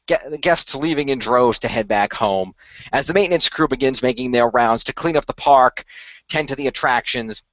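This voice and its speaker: A man reads scripted narration for a radio play, with frequent stumbles and restarts. His voice is medium in pitch, on a dry, slightly dull home recording. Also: a bit bright, no echo. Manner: stumbles and restarts while reading.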